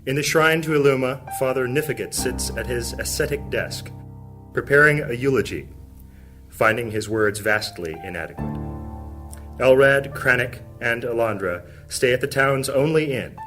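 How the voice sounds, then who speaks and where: A man narrating a high fantasy audio drama in a measured, solemn manner. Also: a bit bright, soft background music, natural voice.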